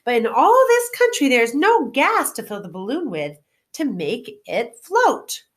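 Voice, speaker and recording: A woman voicing a grown man, a friendly humbug wizard, in an animated storybook read-aloud. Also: high voice, measured pace, pitched above the performer's own voice. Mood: eager, explanatory.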